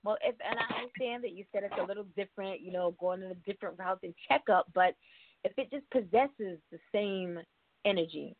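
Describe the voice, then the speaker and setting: A woman's voice: mid-range voice, brisk, slight background noise. A woman hosting a casual radio interview, encouraging and full of "um" and "you know" fillers. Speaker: radio host. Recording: phone-line quality, dry.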